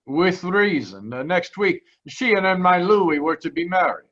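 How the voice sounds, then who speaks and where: An actor playing a grieving Italian immigrant father in an old-time radio drama, speaking halting, formal broken English with an Italian accent. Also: high-pitched, animated, fairly bright tone. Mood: grave, insistent. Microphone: video call. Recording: muffled video-call audio.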